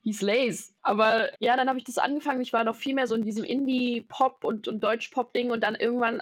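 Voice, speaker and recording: A woman's voice: mid-range voice, no room sound. Chatty young woman, dry sound. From Germany, Dresden. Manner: chatty, rambling, sprinkles in English slang. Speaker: musician guest. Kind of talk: casual interview chat.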